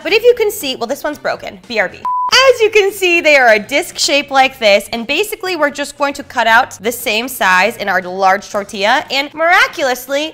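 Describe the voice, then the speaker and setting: A young woman in her twenties hosting a cooking vlog from a bright home kitchen, bubbly and animated as she walks through a recipe. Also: high voice. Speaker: cooking show host.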